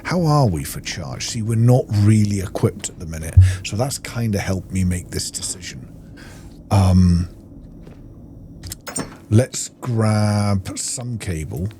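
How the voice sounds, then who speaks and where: A man's voice: on the low side, expressive, takes it slowly, mellow, slight background noise. A man giving casual gameplay commentary, thinking aloud in an informal way. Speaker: gaming video host.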